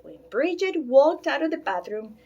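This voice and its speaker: A woman reading a children's storybook aloud with expressive, deliberate phrasing. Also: high-pitched, dull audio. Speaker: storybook reader.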